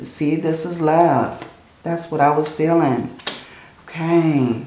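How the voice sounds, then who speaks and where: A woman speaks slowly and gently as she reads tarot, her voice low and a little worn out. Her phrases run on softly and often end in "okay?" The sound echoes in the room. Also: slight background noise. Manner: slow, soothing, often adds "okay?" and "I feel like". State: tired, strained voice. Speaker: tarot reader. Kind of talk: casual tarot reading. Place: indoors at a table, hands seen handling cards.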